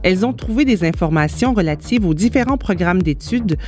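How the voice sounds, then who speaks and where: A woman's voice: lowish voice, animated, brisk, dark tone. A woman reading scripted narration for a video report as its reporter. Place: voice-over recording. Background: music bed.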